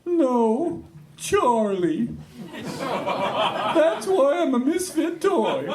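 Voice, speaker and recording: Forlorn jack-in-the-box, wildly swooping voice. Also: female voice, high-pitched, very animated, extremely slow, over a noisy crowd, exaggerated voice, rough recording. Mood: plaintive. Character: forlorn, officious misfit toy jack-in-the-box sentry. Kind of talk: old-time radio play acting.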